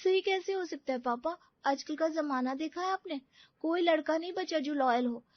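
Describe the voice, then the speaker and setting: A woman's voice: high voice, moderate pace, no echo, muffled recording, lightly acted. A woman playing an outspoken, cynical unmarried daughter in her twenties in an audio drama, complaining dramatically. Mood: exasperated. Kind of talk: Hindi audio drama acting.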